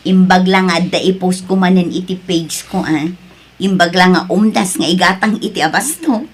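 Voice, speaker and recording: A woman's voice: fairly low pitch, moderate pace, husky. A woman playing an upbeat, encouraging content creator in an Ilocano radio drama, with an Ilocano Filipino accent.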